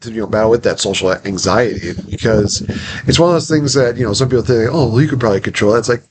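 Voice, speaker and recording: A man's voice: medium pitch, quick pace. A man hosting an interview, chatting in a sympathetic, conversational way. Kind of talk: casual interview talk. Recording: clear background noise.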